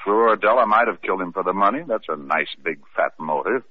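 A man's voice: low-pitched, animated, brisk, breathy edge. A man playing a wisecracking detective who narrates and questions witnesses in an old radio mystery. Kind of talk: 1940s radio acting. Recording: tinny old radio recording with faint hiss.

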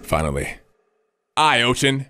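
A man's voice: medium pitch, sing-song, unhurried. A man playing an earnest, dramatic space fleet commander in a sci-fi radio comedy. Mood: tense, serious. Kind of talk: radio comedy battle narration and dialogue. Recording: echoey, loud music behind.

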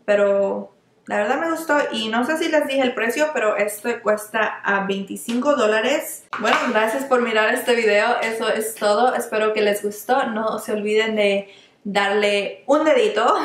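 A young woman reviewing makeup in a casual, chatty way, often restarting words and slipping into English terms. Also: fairly high pitch. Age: young adult. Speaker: beauty vlogger. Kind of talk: casual product review while applying makeup. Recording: echoey small room.

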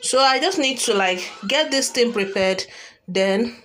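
A woman's voice: fairly high pitch, expressive, measured pace, a bit bright, a little hiss or hum. A young woman with a Nigerian accent chatting casually to viewers as a cooking vlogger, recorded at home on a phone. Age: young adult. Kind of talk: casual vlog chat to viewers. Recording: music over the voice.

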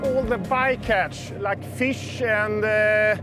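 Slow-talking Swedish fisherman, higher-pitched. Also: steady tone. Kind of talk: informal interview. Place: outdoors on a fishing boat at sea. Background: loud music, boat at sea.

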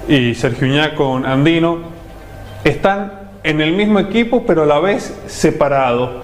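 A man, asking wordy, halting questions as a TV interviewer. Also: a little high, slight background noise. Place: TV studio, standing.

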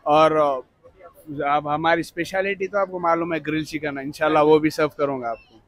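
Food stall owner, dry close voice. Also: male voice, a little high, steady tone, moderate pace, no echo. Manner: plain, proud of his food. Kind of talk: casual interview answers.

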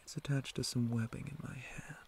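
A man voicing a possessive, menacing spider monster captor in an ASMR roleplay, mostly in a breathy whisper with deliberate, theatrical menace. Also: medium pitch, little pitch movement, a little mellow, slight background noise, natural voice. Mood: controlling.

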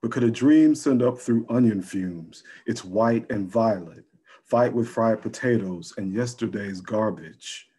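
A middle-aged man speaking and reading poetry unhurriedly, pausing between phrases, on a video call from home. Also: lowish voice, dark tone, breathy edge. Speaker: poet. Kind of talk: casual introduction and poetry reading. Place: room at home. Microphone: computer mic on a video call.

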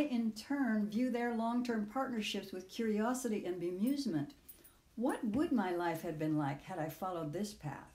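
Older woman, mellow voice, echoey room. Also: medium pitch. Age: 60s or older. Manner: measured, literary, deliberate phrasing with pauses in lists. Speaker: author reading own audio essay. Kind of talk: reflective personal essay read aloud.

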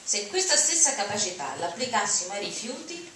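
A woman's voice: mid-range voice, very animated, normal speed. A middle-aged woman gives a dramatic reading of prose from a lectern. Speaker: reader of literary texts. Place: auditorium, at a lectern before an audience. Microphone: lectern mic, recorded from far camera. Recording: very echoey hall, distant mic, noisy.

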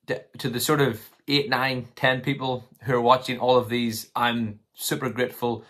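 A man in his twenties with a slightly hoarse voice, talking casually and tentatively to camera as he thinks through his commentary. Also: mid-range voice, flat delivery, normal speed, fairly bright tone. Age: 20s. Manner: tentative, hedging, thinking aloud. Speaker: political commentary vlogger. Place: small room at home, indoors.

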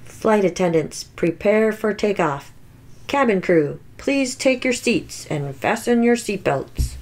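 Woman reading as formal, courteous flight announcer, unhurried, storybook read-aloud. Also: medium pitch, animated. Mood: calm, official. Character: polite, formal airline cabin announcer. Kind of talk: children's picture book read-aloud.

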